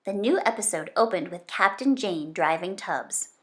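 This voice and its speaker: A woman reading a children's book aloud in an expressive storytelling style. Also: medium pitch, moderate pace. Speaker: storybook reader.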